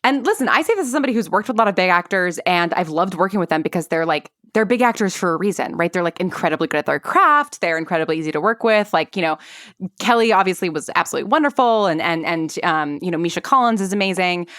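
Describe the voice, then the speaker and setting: A woman in her thirties chatting casually as an interview guest, rambling in an animated way with lots of filler "like". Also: fairly low pitch, fast talker, no echo, studio quality. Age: 30s. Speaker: guest, audio drama writer.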